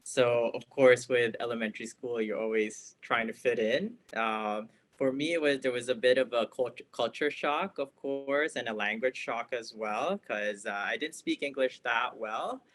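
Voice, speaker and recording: A man who is a family physician, recounting his childhood in a measured way with a few fillers. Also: mid-range voice, a bit bright. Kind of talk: casual interview storytelling.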